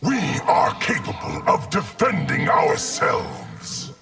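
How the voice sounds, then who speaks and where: A man playing a grave video game construct made of many souls, speaking in slow, solemn, gravelly declarations. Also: a little high, wildly swooping pitch, exaggerated voice. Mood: solemn, resolute. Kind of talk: video game character dialogue. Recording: loud music under the voice.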